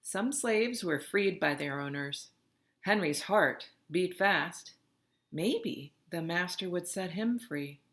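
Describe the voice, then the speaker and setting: Woman storybook narrator, unhurried and dramatic, with a low-pitched, crisp-edged bright delivery. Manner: expressive, dramatic reading. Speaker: storybook reader. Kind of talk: read-aloud narration of a children's picture book.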